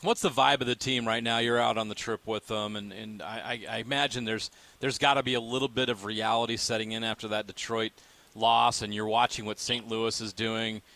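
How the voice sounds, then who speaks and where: A man's voice: fairly low pitch, flat delivery, a little fast, pushed and bright, no room sound. An American man co-hosting sports radio in a casual, conversational style.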